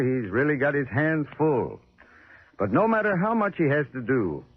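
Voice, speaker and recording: A man's voice: mid-range voice, slightly rough. A man delivering a scripted 1940s radio advertisement as a spokesman. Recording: narrow, tinny 1940s radio recording.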